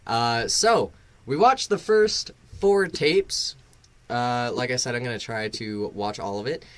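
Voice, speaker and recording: A man's voice: mid-range voice, a bit bright. A man hosting a casual live reaction stream, rambling and swearing, bluntly critical with a sarcastic, self-deprecating streak. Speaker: livestream host and reviewer.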